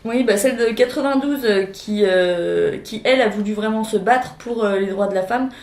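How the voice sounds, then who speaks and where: A woman co-hosting a casual discussion show, explaining facts in a halting way with many fillers. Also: medium pitch, a little mellow. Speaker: podcast co-host. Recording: echoey room.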